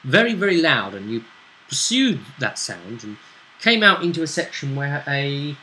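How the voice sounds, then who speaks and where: Animated, bright-voiced man as game master. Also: mid-range voice, moderate pace, slight background noise, poor audio. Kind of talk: casual recap of a tabletop role-play session.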